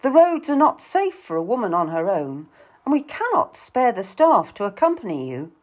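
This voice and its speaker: A woman's voice: mid-range voice, sing-song, normal speed, a little mellow. A woman voicing a composed, sceptical genteel lady, cool and unconvinced, in an audiobook story.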